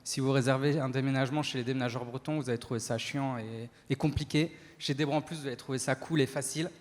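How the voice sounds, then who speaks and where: A man giving an entrepreneur's testimony in an on-stage interview, speaking haltingly with frequent pauses. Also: mid-range voice, fairly even intonation. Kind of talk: spoken testimony at a business talk event. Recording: echoey hall, long reverb.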